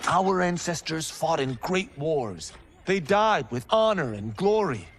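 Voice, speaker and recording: An actor playing a young farmer who is hungry for adventure in a video game, earnest with a cocky streak. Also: male voice, fairly high pitch, big pitch swings, measured pace. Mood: wistful, earnest. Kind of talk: video game cutscene acting. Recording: clearly noisy background.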